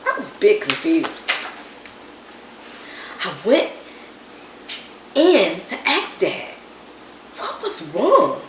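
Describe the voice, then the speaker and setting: A woman reading a children's story aloud in an expressive, dramatic way, with emphatic words and hushed asides. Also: medium pitch, extremely slow, pauses often. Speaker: storybook reader. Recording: roomy echo, distant mic, background noise.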